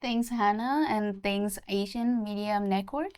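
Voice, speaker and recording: Twenties woman, Vietnamese accent, smooth resonant tone, eager pageant contestant. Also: mid-range voice, a little slow, darkish tone. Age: 20s. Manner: nervous but eager, simple English. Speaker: pageant candidate.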